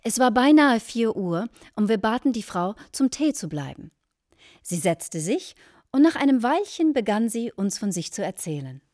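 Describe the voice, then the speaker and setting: Radio drama: earnest, formal wife, dramatic storyteller, very dry studio sound. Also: female voice, a little high, very animated, normal speed, a little mellow, no room sound. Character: earnest married woman solemnly recounting a shocking anecdote to a guest. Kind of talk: German radio play acting.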